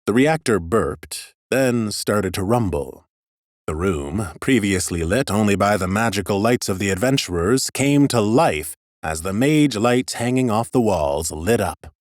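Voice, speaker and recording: A man reading expressive first-person scripted narration as an audiobook narrator. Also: on the low side, measured pace, no echo, studio quality.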